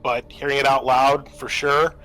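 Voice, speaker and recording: A man's voice: mid-range voice, little pitch movement, normal speed, sharp tone, rough recording. A man acting as game master, narrating and explaining the rules of a tabletop roleplaying game.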